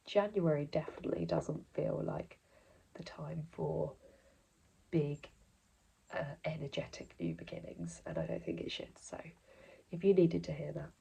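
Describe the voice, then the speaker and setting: A young woman with a blocked nose, chatting casually and rambling in a soft voice that often drops near a whisper. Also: low voice, vocal fry, slightly rough, poor audio. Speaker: vlogger.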